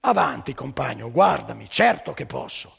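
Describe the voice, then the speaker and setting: A man doing a gravelly, cocky Soviet worker. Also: medium pitch, sing-song, moderate pace, a bit bright, big-room sound, voice pushed down, full character voice. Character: burly Soviet factory worker, male, blunt and cocky.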